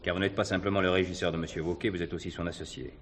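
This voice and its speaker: An actor playing a shrewd, calm police commissioner, measured and insistent, in a French stage drama. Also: male voice, deep voice. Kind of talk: French stage-play dialogue. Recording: faint background noise, 1970s production.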